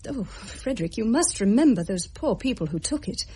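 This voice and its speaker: An actress playing an anxious, well-bred lady in a period audio drama, husky, genteel and emotive. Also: a little high, very animated, normal speed, mellow, heavily acted. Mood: alarmed.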